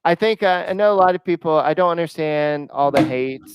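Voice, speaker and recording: A man's voice: a little high, brisk, background noise. A man co-hosting a casual sports podcast, speaking hesitantly with frequent fillers. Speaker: podcast co-host.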